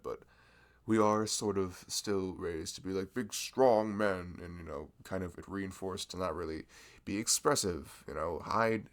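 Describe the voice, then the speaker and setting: A man playing a sweet, bashful young male florist in a chatty, hesitant ASMR roleplay, with a velvety voice. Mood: earnest, gentle. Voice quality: velvety, low, creaky. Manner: chatty, hesitant, rambling, self-deprecating. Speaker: ASMR voice performer. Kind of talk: ASMR roleplay, improvised casual chat.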